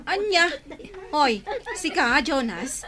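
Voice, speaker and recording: A woman's voice: sits high, very animated, slow pace, crowd noise, heavily acted. A woman playing a stern, admonishing schoolteacher in a comedy radio drama. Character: stern schoolteacher warning her pupils.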